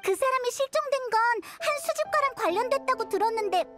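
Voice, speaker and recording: A woman voicing a tiny, curious, childlike fairy companion in a video game, with a squeaky voice and blunt manner. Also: lively intonation, fast. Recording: loud music under the voice.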